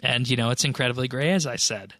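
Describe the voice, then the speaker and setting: A man's voice: mid-range voice, fast talker, sharp tone, dry recording, clean studio sound. A man co-hosting a casual gaming podcast, explaining things in a matter-of-fact way. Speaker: podcast co-host. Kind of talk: casual panel discussion about online games.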